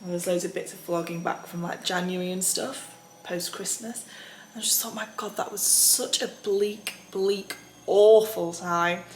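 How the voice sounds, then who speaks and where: A young woman with a husky, raspy voice from tonsillitis, chatting upbeat to her vlog viewers with lots of ums. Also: lowish voice, fairly even intonation, unhurried, a little hiss or hum. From England. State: recovering from tonsillitis. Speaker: vlogger. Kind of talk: casual vlog chat. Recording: echoey room.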